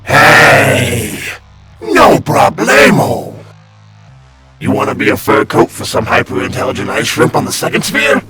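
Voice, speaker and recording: A wisecracking demon hustler from a comic-book dub. His lowish voice swings wildly up and down as he draws out his slangy, theatrical pitch. Loud music plays underneath. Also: big pitch swings, measured pace, exaggerated voice. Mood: brash, teasing. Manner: slangy, glib, theatrical sales patter. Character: wisecracking sleazy demon guide of hell, male salesman type. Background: prominent music.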